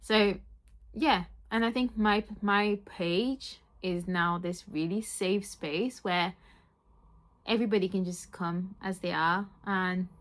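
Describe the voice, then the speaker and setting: A young woman whose first language is French, with an accent that mixes French and Yorkshire, chatting excitedly in a book vlog and saying "like" often. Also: medium pitch. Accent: French mixed with Yorkshire. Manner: chatty, says "like" a lot, excitable. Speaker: book vlogger.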